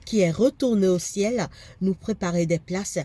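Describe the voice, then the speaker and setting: A woman's voice: mid-range voice, a little slow, dark tone. Fervent woman preacher with a cold. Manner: fervent preaching, repeats phrases for emphasis. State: has a cold, congested. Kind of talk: sermon.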